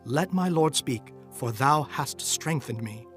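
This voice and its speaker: A man's voice: medium pitch, expressive, fairly bright tone. Reverent male prophet, over music. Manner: solemn, reverent, measured. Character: awed, trembling male prophet recounting a holy vision. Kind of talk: dramatized scripture narration. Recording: music behind the voice.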